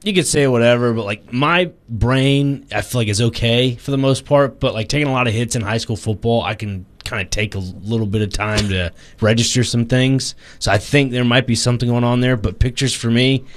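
A man's voice: medium pitch, fairly quick, few pauses. A man chatting casually as a radio co-host in a rambling, plainspoken way. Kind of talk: casual chat.

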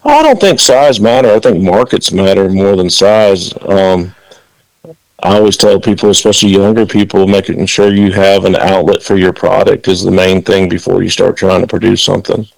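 A man's voice: deep voice, normal speed, creaky, no echo, rough recording. A man from the Dominican Republic, a farmer guest on a radio interview, talking earnestly with frequent fillers.

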